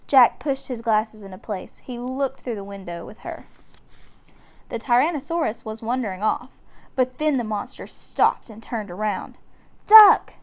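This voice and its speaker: High-pitched woman reading a children's story. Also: moderate pace, darkish tone. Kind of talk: children's book read-aloud narration.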